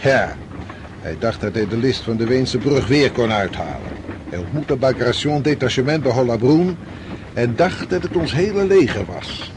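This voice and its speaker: An actor playing a weary, authoritative commanding general in a radio drama, explaining in a measured way. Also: male voice, medium pitch, animated, background noise, natural voice. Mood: grave, explaining. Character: weary commanding general of a retreating Russian army.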